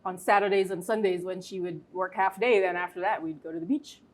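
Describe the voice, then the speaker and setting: A woman, a podcast guest telling a relaxed, easygoing story with light laughter in her talk. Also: lowish voice, a little fast. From Barbados. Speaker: podcast guest, water engineer. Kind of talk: relaxed conversational anecdote.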